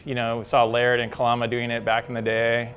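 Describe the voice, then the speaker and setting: A middle-aged man from Hawaii, a company co-founder talking casually and quickly, full of "like" and "you know". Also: mid-range voice, completely flat delivery, a bit bright, faint music behind. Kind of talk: casual promotional chat.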